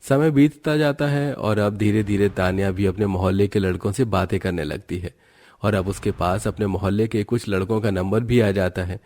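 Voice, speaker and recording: A man's voice: fairly low pitch, fairly even intonation, moderate pace, a little mellow. A man narrating a scripted cartoon family story as a storyteller.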